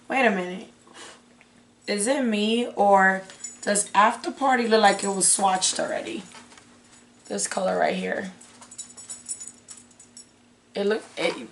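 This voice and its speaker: A young woman with a raspy, hoarse voice that is giving out, chatting casually as a beauty vlogger and thinking aloud as she goes. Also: medium pitch, fairly bright tone. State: hoarse, losing her voice. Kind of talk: casual unboxing chat. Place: small indoor room with curtain backdrop.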